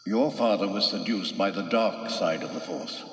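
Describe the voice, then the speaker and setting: A man playing a calm, grave old Jedi mentor's ghost in a storybook drama, speaking in a measured way through ghostly reverb. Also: sits low. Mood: grave, gentle. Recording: long echoing reverb.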